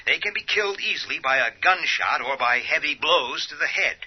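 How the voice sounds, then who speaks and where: An actor playing a grave radio newsreader delivering a formal, solemn emergency bulletin in a husky voice. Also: male voice, fairly high pitch, expressive. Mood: grave, urgent. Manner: formal, solemn, deliberate announcements. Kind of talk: scripted emergency news bulletin in radio drama. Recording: dull lo-fi radio bulletin sound.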